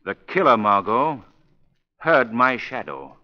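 An actor playing a suave, composed gentleman detective in an urbane, measured 1930s radio style. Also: mid-range voice, sing-song. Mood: grave. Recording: dull lo-fi 1930s radio recording.

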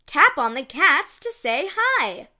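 A woman's voice: sits high, big pitch swings, takes it slowly, bright, forceful tone. A woman narrating a read-along storybook for young children in short, simple, encouraging phrases.

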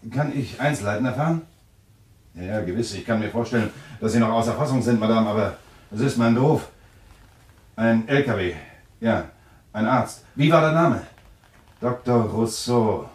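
An actor playing a skeptical, controlling journalist in a German radio thriller, with a deliberate, sardonic, interrogating manner. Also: male voice, mid-range voice, darkish tone, lightly acted. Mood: brisk, businesslike. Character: skeptical, controlling male journalist, suspicious husband.

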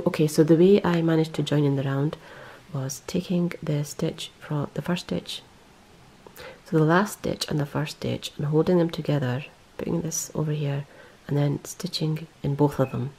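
Woman, low dark gravelly voice, rambling self-correcting show-and-tell. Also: steady tone, moderate pace. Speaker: knitting vlogger. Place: indoors, close-up on hands and yarn.

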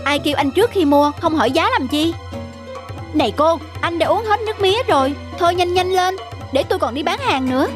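A woman's voice: extremely high pitch, animated, a little fast, music in the background. A woman voicing a brazen young female juice vendor in a cartoon, with pushy sales patter. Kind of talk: cartoon dubbing.

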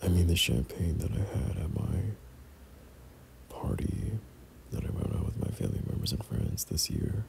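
A young man doing intimate boyfriend roleplay ASMR in a breathy, often whispered voice, speaking tenderly and hesitantly. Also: low-pitched, expressive, normal speed, a little hiss or hum. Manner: tender, hesitant, softly chuckling. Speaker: boyfriend ASMR audio performer.

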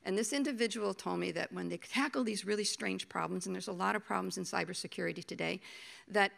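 A middle-aged woman giving a conference talk at a podium, hoarse from a cold, halting and repeating words as she thinks. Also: mid-range voice, a bit bright. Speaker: panel speaker, agency official. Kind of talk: conference presentation. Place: conference hall stage, at a podium. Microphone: podium microphone.

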